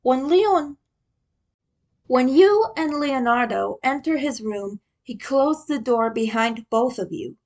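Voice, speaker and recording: Slow, high-pitched woman narrator. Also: animated, a little mellow. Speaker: story narrator. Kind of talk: scripted narration of fan fiction.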